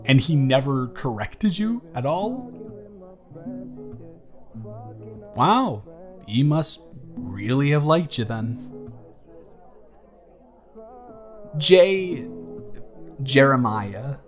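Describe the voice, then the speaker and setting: A man's voice: medium pitch, big pitch swings, takes it slowly, soft background music. A young man acting a wry, awkward but kind college student in an intimate, conversational roleplay audio, with a dry, teasing and hesitant delivery. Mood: thoughtful, surprised. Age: young adult.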